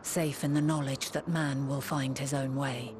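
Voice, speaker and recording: An actress playing a wise ancient woman scholar, speaking slowly and solemnly with archaic phrasing in a game cutscene. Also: very deep voice, flat delivery, background noise. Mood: reverent. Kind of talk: voiced game cutscene dialogue. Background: orchestral game score.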